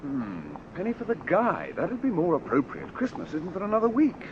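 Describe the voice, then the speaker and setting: A man playing a flippant, romantic young gentleman in a radio drama, with a playful, mock-grand manner. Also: high voice, wildly swooping pitch, moderate pace, over a noisy crowd. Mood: teasing. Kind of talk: British radio drama acting. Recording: muffled radio recording, faint noise.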